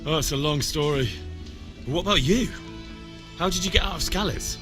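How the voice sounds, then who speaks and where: An actor playing an earnest, determined young blacksmith's son in a medieval video game, plain and sincere. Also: male voice, on the high side, fairly quick, over music, lightly acted. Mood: friendly.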